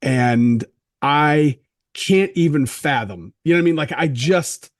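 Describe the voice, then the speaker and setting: A man's voice: a little high, unhurried, no room sound. Curious man hosting, dry sound. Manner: conversational, curious questioner. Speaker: podcast host. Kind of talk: casual interview on a video call. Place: home, remote video call. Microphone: video call microphone.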